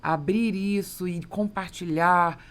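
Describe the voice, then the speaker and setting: A woman's voice: fairly low pitch, steady tone, slow pace. A woman with a Brazilian accent hosting a business podcast, talking conversationally in an emphatic, explanatory way. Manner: emphatic, explanatory, interjects agreement. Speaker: podcast host and interviewer.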